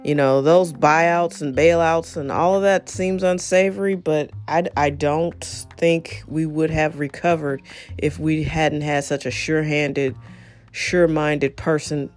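A woman's voice: low voice, steady tone, measured pace, soft background music. A middle-aged woman hosting her own show, talking off the cuff with frequent laughs and wandering asides. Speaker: podcast host. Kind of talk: unscripted solo talk.

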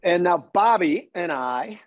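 A man's voice: high-pitched, animated, unhurried, dull audio. A man hosting a casual financial interview show, informal and friendly as he steers between guest and co-host.

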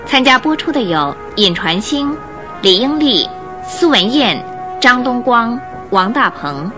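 A woman narrator telling a radio drama story in an expressive, scripted and dramatic style, building suspense. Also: fairly high pitch, a little slow. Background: music.

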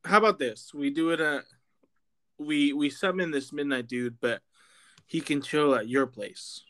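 A man's voice: a little high, measured pace, fairly bright tone. A man in his late twenties co-hosting a casual podcast, easygoing and self-mocking, often laughing. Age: 20s. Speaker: podcast co-host.

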